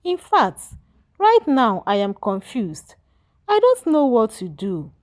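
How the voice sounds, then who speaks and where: A woman's voice: fairly high pitch, huge pitch swings, slow, heavily acted. A woman voicing a troubled, ambitious husband in a storybook tale, earnest and emphatic. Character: troubled, ambitious husband, hesitant but self-serving. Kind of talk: storybook dialogue.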